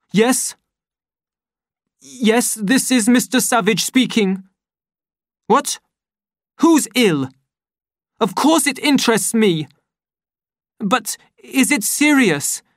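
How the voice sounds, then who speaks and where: A raging young man who barks loud and high, as a female narrator puts him on. The voice is raised and strained, close and dry, and swings widely in pitch. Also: no room sound. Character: wild young man, furious and shouting.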